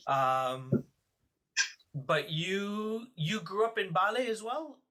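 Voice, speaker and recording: Male podcast host, bright and slightly high, encouraging interviewer. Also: animated, slow, rough recording. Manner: encouraging, asks follow-up questions. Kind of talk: casual family interview.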